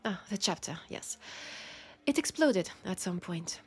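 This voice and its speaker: A woman playing a lonely, centuries-old female vampire in an intimate audio drama, with a French accent and a soft, confiding, unhurried delivery. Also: on the low side, lively intonation. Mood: wry reminiscence. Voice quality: soft, breathy, often dropping to a whisper. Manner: unhurried, confiding, pausing between phrases.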